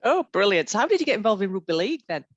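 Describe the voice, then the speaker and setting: A woman's voice: medium pitch, very animated, racing, dry recording, highs cut off. A woman hosting an interview, enthusiastic and encouraging with her guest. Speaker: interviewer, host. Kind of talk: casual interview.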